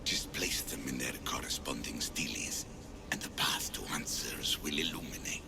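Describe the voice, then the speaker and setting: An actor playing an ancient, solemn father and scholar obsessed with lost knowledge in a video game, speaking gravely and formally in a breathy, gravelly voice. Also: a bass voice, moderate pace. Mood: reverent, grave. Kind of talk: video game cutscene acting. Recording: loud music behind the voice.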